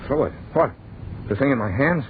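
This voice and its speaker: A man's voice: mid-range voice, lively intonation, moderate pace, close to an everyday voice. An actor playing a horrified man who tells of being flung into a deathless future, intense first-person acting in a noisy, muffled old-time radio horror play. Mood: bewildered horror. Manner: dramatic, intense.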